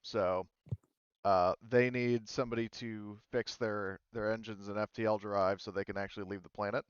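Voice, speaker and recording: A man's voice: fairly low pitch, fairly even intonation, moderate pace, clean tone, no echo, muffled recording. A man narrating as game master, rambling with many fillers and restarts. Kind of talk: narrating a tabletop game, casual.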